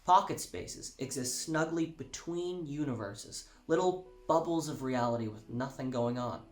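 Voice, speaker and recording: An actor playing a showy, self-satisfied celebrity musician, delivering a gushing, theatrical sales pitch with dramatic emphasis. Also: male voice, a little slow, lightly acted. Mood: breezy salesmanship. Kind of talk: theatrical infomercial-style pitch in an audio drama. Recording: roomy echo, music underneath.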